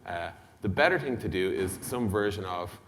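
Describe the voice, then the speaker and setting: Man, lowish voice, conference speaker, casual blunt delivery, product presentation, echoing hall. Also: lively intonation, normal speed, fairly bright tone, a little hiss or hum. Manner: casual, blunt, mildly sweary, uses acted-out dialogue. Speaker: conference speaker, tech company executive. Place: large indoor conference hall. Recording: long hall reverb from conference stage.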